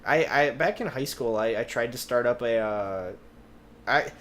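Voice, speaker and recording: A man's voice: mid-range voice, lively intonation, measured pace, a bit bright. A man co-hosting a podcast, telling rambling anecdotes in casual chat and swearing freely. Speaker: podcast host. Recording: noticeable background noise.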